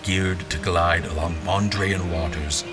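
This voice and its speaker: A man playing a troubled, sardonic general who narrates his memories in literary, deliberate audio-drama prose. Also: deep voice, fairly even intonation. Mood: brooding. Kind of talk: dramatic scripted first-person narration. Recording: music bed under narration.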